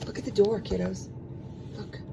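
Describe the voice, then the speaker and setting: A woman reading a storybook aloud to young children in an expressive, animated way, stretching out sound words and adding asides to the listeners. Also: medium pitch, moderate pace, creaky, heavy background noise.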